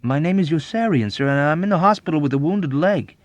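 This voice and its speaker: A man voicing a sly, timid wounded airman in an audiobook, deferential but quick to protest. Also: a little high, lively intonation, dry recording, voice pushed up, natural voice. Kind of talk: audiobook character acting.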